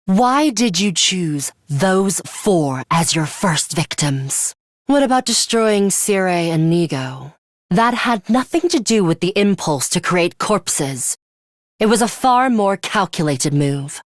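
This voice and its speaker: An actress playing a stern, cool-headed young woman fighter in a video game, with a blunt, measured and analytical way of talking. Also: mid-range voice, no room sound, studio quality. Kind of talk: dramatic English video game voice acting.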